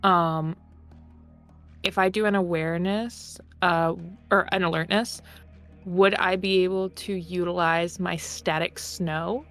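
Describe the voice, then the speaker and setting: A woman's voice: on the low side, steady tone, slow, a bit bright. A woman playing a tabletop roleplaying game, talking casually and playfully as one of the players. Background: music under the voice.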